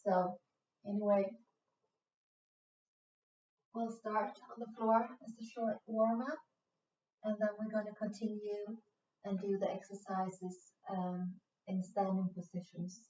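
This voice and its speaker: A Swedish-accented woman guiding a beginner yoga class, her words unhurried and broken by pauses. She sounds set back from the mic in a roomy, reverberant space. The recording is dull and muffled, with a faint hiss underneath. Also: medium pitch, flat delivery, mellow, far from the mic. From Sweden. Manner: slow, patient, with many hesitations. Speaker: yoga instructor. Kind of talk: guided yoga class instruction.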